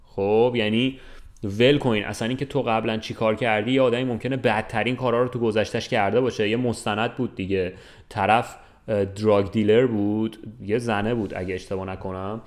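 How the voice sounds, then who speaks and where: A man's voice: on the low side, fairly even intonation. A man in his twenties talking casually and colloquially, explaining his view and checking that the listener follows. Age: 20s. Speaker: podcast co-host. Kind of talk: casual chat. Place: room with large window, indoors. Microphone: desk microphone.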